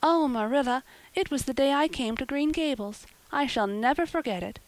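A woman's voice: high-pitched, normal speed, no echo, voice pushed up, natural voice. A woman voicing an imaginative, earnest young storybook girl who gushes and pleads in wordy, romantic speeches. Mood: earnest, gushing.

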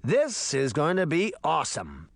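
A man voicing a cocky, mischievous, excitable boy with a brash, gleeful manner. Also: a little high, unhurried, vocal fry, no echo, clean studio sound, heavily acted. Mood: gleeful, excited.

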